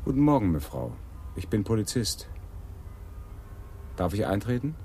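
An actor playing a wry, laconic police sergeant who is easily smitten and mutters to himself in a radio play. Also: male voice, fairly low pitch, sing-song, normal speed, gravelly, warm tone, a little hiss or hum. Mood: dry, matter-of-fact. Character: wry, smitten Amsterdam police sergeant, restless inner musings. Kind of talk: radio drama acting.

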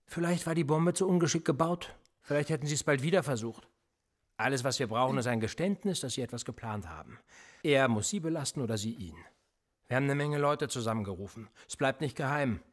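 A cool, manipulative police interrogator in a radio play, played by a man. His voice is a little husky, and his pitch swings widely as he pushes a suspect with probing questions. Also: expressive, fairly quick, natural voice. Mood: pressing. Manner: probing and insistent, pressing with pointed questions. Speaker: police officer.

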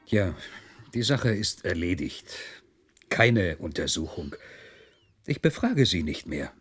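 Low-voiced, curt general. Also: male voice, big pitch swings, takes it slowly, breathy edge, soft background music, full character voice. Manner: curt, dismissive. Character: dismissive male general, a wary investigator. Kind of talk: German radio play acting.

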